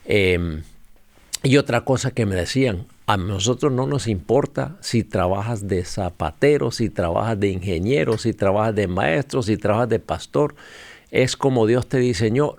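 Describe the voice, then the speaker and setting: A man's voice: on the low side, vocal fry, dry recording. A middle-aged man with a Honduran Spanish accent, telling his story as a podcast guest with lots of fillers. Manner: storytelling, earnest, with frequent fillers. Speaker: guest missionary leader. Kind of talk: conversational podcast interview.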